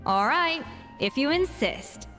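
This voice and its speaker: A woman's voice: sits high, lively intonation, takes it slowly, bright, forceful tone, faint music behind, heavily acted. A woman playing a supremely confident, exacting young chef in an anime game, with a proud, formal manner.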